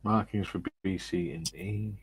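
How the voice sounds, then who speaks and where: A man's voice: deep voice, measured pace, breathy edge. A man hosting a casual live model-building stream, joking and reading viewer comments aloud. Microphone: phone.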